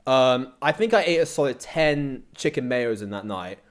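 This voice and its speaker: Young British male guest, average pitch, casual slangy delivery, rambling anecdotal chat. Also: expressive, moderate pace. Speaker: interview guest, content creator.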